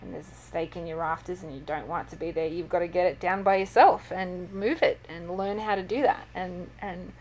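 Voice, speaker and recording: A woman's voice: fairly low pitch, a little fast, hardly any pauses, darkish tone, a little hiss or hum, poor audio. A woman with an Australian accent, an author telling stories in a casual interview, chatty and full of ums. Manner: chatty storyteller, many fillers. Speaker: author and guest.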